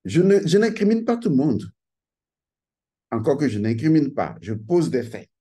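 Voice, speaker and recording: A man's voice: a little high, big pitch swings, normal speed, mellow, breathy edge. Emphatic man lecturing. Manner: emphatic and insistent, repeats key phrases. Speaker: channel host giving a talk. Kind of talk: lecturing monologue to camera.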